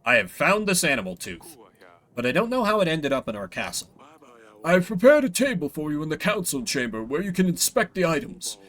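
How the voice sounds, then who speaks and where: A man's voice: fairly high pitch, very animated, fairly quick, fairly bright tone. A man voicing a dutiful, cautious royal advisor in a video game, formal and deferential in manner. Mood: earnest, advising.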